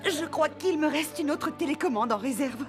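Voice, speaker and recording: A woman voicing a soft-hearted shop clerk with an expressive delivery in French cartoon dubbing. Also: high voice, normal speed, music in the background, close to an everyday voice. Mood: sympathetic.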